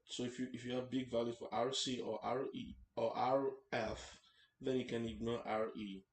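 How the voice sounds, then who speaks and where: A man teaching a hands-on technical tutorial in an informal way, haltingly, often repeating and rephrasing his words. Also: medium pitch, little pitch movement. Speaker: instructor hosting an electronics tutorial series. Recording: echoey room, faint background noise.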